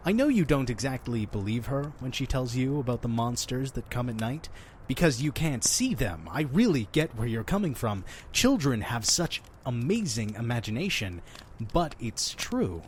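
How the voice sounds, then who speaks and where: A man's voice: mid-range voice, very animated, moderate pace, a little hiss or hum, lightly acted. A man playing a friendly, mysterious innkeeper who tells stories in an audio drama, earnest and persuasive with a folksy touch. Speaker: innkeeper storyteller. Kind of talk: audio drama storytelling and dialogue.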